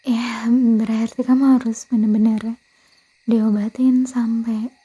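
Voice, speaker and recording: Woman as a breathy, whispery Indonesian vampire girl. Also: fairly high pitch, little pitch movement, slow pace. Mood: apologetic, gently coaxing. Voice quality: breathy, soft. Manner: hesitant, stammering, self-correcting, coaxing. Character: blood-craving young female vampire girlfriend, harmless, hesitant, pleading. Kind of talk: intimate ASMR roleplay, much of it whispered.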